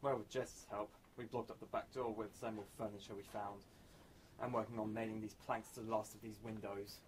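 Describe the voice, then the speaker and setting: A man's voice: fairly low pitch, level tone, brisk, a little hiss or hum, natural voice, poor audio. A man playing a blunt, impatient survivor in an amateur radio drama, grumbling and brusque. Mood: matter-of-fact. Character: Four, blunt impatient foul-mouthed male survivor.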